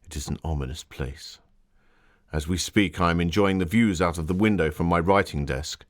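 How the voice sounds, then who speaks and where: An actor playing a Victorian gentleman author, narrating his travels in a formal, literary, theatrical manner. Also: deep voice, normal speed, lightly acted. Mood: eager, ominous. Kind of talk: scripted dramatic narration.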